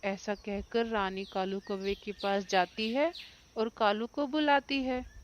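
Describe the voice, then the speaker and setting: A woman's voice: mid-range voice, fairly even intonation, takes it slowly, dull audio, a little hiss or hum. A woman narrating a scripted children's story.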